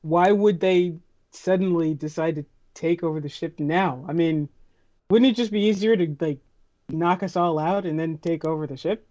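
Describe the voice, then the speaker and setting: A woman's voice: on the low side, moderate pace, warm tone, dull audio, lightly acted. A woman playing a skeptical, practical starship tech in an improvised role-playing game, questioning things in a dry, casual way.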